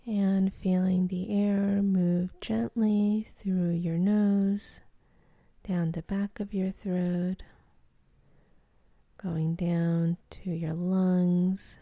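A woman leading a guided meditation in a soft, breathy, half-whispered voice, calm and gentle, with long pauses between phrases. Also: on the low side, dry recording.

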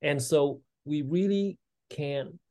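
A man's voice: on the high side, slow, a little mellow. Earnest Vietnam-born man in his sixties. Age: 60s. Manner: earnest, many fillers and restarts. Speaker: guest, state legislator. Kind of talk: casual interview talk.

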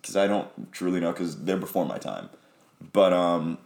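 A young man with a deep voice talks with real enthusiasm, swinging his pitch up and down as he chats. He sounds like he is in an echoey room. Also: sing-song, normal speed, vocal fry, a little mellow. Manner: enthusiastic, sings snippets of songs. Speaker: podcast co-host. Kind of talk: casual chat about music.

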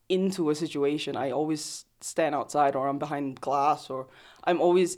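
A woman in her thirties from Singapore, with an accent that mixes Singaporean and Australian, talking about herself in a relaxed interview. She speaks reflectively and often restarts her phrases. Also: low voice. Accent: mixed Singaporean and Australian. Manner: thoughtful, self-deprecating, restarts phrases. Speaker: photographer, interview guest. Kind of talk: casual conversational interview. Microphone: close desk mic.

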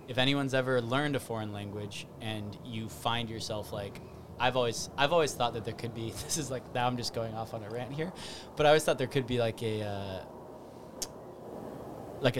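A man working in marketing and content, chatty and quick to laugh, talking in bursts in a casual chat. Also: mid-range voice, noisy background. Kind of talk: casual podcast conversation.

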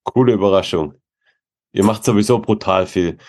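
A male podcast host chats in an informal way with a somewhat low voice. His wording is loose and slangy, as in an easy conversation with a guest. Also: moderate pace, darkish tone. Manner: informal, slangy, often says cool. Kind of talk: casual chat.

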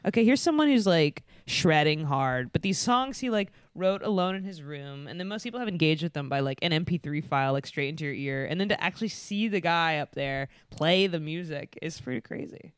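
A man's voice: fairly high pitch, animated, brisk, hardly any pauses, a bit bright, smooth, no echo, studio quality. Young man telling an earnest story. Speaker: podcast co-host, stand-up comedian. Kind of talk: casual chat.

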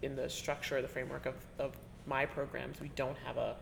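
Chatty woman interviewer with gravelly fry. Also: very deep voice, flat delivery, fairly quick, a little hiss or hum. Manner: chatty, self-interrupting, laughs often. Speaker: interviewer, teaching artist. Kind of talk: conversational interview. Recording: reverberant room with faint ambience.

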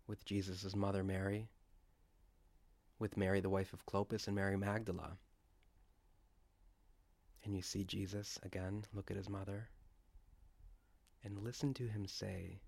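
A man leading a guided scripture meditation, speaking in a deliberate, reverent way with pauses. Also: lowish voice, gravelly, darkish tone, no echo. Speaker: guided prayer leader.

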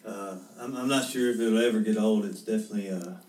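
A man in his twenties, a head baseball coach, answering interview questions in a steady, earnest way, repeating words as he thinks. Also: on the low side, quick pace, echoey room, recorded from a distance, poor audio. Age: 20s.

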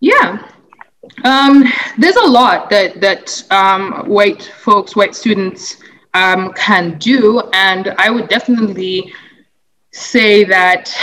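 A young woman from Uganda answering interview questions in an earnest, thoughtful way, with frequent pauses, fillers and restarts. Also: mid-range voice, a bit bright, low-quality recording. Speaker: interviewee, anti-racism advocate.

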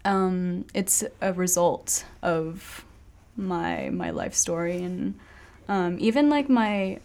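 A woman's voice: on the low side, slow, a little mellow. A young woman from France speaking English with an American accent, earnest in casual conversation. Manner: earnest, repetitive restarts.

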